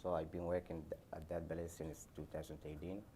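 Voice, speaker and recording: A man from Somalia with a Somali accent, speaking haltingly on a panel as an airport worker. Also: a bass voice, little pitch movement, background noise. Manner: halting, non-native phrasing. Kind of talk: panel discussion answers.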